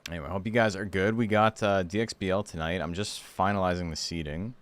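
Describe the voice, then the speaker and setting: A hoarse man hosting a livestream, chatting casually and rambling with lots of fillers. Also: deep voice, fast talker. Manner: rambling, relaxed, full of fillers. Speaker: streamer and tournament host.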